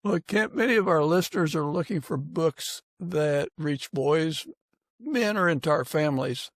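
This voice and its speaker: A man with a fairly high voice and noticeable creak hosts an interview podcast. He speaks very slowly and deliberately, setting out each phrase with care. The sound is dry and close. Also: animated, no echo. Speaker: podcast host. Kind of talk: conversational interviewing.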